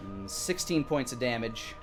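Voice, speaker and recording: A man's voice: mid-range voice, lively intonation, measured pace. A man acting as dungeon master, narrating action and ruling on the rules of a tabletop game as he goes. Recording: music playing behind the voice. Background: background music.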